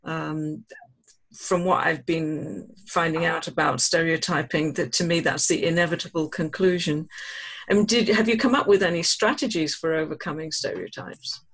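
An adult leading a classroom discussion, speaking in a measured, thoughtful way with frequent pauses. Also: medium pitch, steady tone, a bit bright. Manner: measured, thoughtful, many ums. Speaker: discussion leader.

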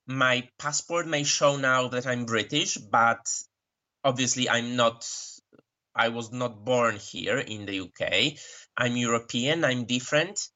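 A man from Poland with a Polish accent, giving thoughtful interview answers as a guest, pausing often and correcting himself. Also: mid-range voice, sharp tone. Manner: thoughtful, frequent pauses and self-corrections. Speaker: interview guest who works with migrants. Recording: dull lo-fi recording.

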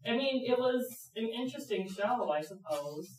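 A woman's voice: on the high side, lively intonation, normal speed, a little mellow, a little hiss or hum. A young woman speaking casually as a student in a classroom discussion, using lots of fillers. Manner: casual, many likes. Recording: echoey classroom from far off.

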